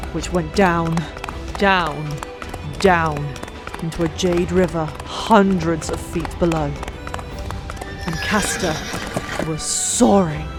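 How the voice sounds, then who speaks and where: A narrator reading a story in a dramatic, expressive way, with drawn-out pauses for emphasis. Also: medium pitch. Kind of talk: dramatic scripted audiobook narration. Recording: old mic, background music. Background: music.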